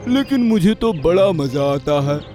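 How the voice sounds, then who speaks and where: Guy doing mean cartoon animal bully, highish mellow voice, slow taunting delivery. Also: animated, soft background music. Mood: gloating, defiant. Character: sneering villain wolf and mischievous bully rhinoceros. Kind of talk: Hindi cartoon story voice acting.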